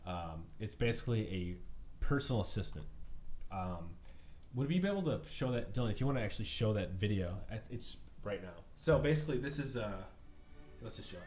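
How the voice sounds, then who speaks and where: A man's voice: on the low side, animated, fairly quick, a little mellow, a little hiss or hum. A man co-hosting a podcast in loose, casual chat. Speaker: podcast co-host.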